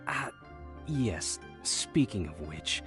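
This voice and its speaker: A courteous palace gatekeeper, formal and deliberate. He talks slowly with lively swings in pitch, a video game attendant over faint music. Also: full character voice. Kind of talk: video game character acting. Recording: music behind the voice.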